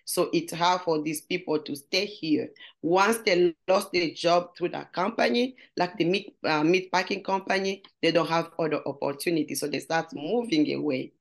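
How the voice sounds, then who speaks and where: A woman's voice: fairly low pitch, normal speed, gravelly. A middle-aged woman from Congo with a Congolese French accent, telling her story in an interview and searching earnestly for English words. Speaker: guest. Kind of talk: interview conversation.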